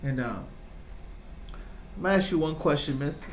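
Higher-pitched American man, slangy, echoey room. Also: moderate pace. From Virginia, United States. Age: 30s. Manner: slangy, repeats phrases for emphasis. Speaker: podcast host and interviewer. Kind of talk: casual chat. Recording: echoey room, audible background noise.

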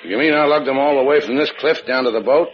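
An actor playing a tough, sardonic hard-boiled adventurer, deadpan and wisecracking in a 1950s radio drama. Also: male voice, medium pitch, quick pace, a little hiss or hum, close to an everyday voice. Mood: dry, wry. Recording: dull 1950s radio recording, faint music.

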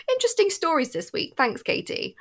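A woman's voice: very high-pitched, huge pitch swings, unhurried, loud music behind the voice. A British woman hosting a podcast, bubbly and enthusiastic as she chats with guests. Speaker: podcast host. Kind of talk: lively podcast chat.

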